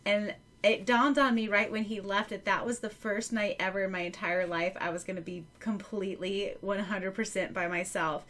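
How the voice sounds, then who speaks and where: A woman with a very bright, forward voice at a middling pitch co-hosts a podcast. She talks casually and animatedly, like someone telling a friend a story, dropping in asides as she goes. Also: steady tone, normal speed, hardly any pauses. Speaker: podcast co-host. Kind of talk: casual chatty podcast conversation.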